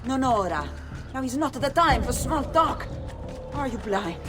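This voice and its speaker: A woman's voice: high voice, animated, unhurried, music in the background. A woman playing a fiery, wounded young thief in a video game, curt and straining in pain, with an Italian accent. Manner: curt, snappish, bossy. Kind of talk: video game character acting.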